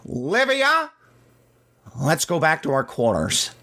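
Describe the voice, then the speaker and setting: A woman's voice: low voice, wildly swooping pitch, slow pace, sharp tone, heavily acted. A woman playing a fussy, controlling rich man in an audio drama, whiny, pompous and indignant. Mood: haughty controlling.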